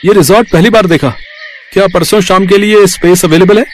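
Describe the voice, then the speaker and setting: A man's voice: high-pitched, fairly even intonation, fairly quick, a little hiss or hum, natural voice. A man playing a courteous, businesslike city customer in a cartoon story, mixing in English words. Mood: polite.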